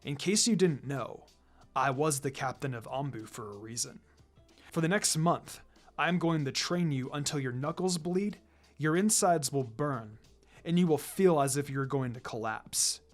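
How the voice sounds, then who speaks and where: A reader voicing a stern, low-key ninja commander over music. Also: expressive, close to an everyday voice. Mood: stern, demanding. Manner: commanding, deliberate, menacing. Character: stern, cold, demanding male ninja commander and trainer. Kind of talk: fan fiction character reading. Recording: music under the voice.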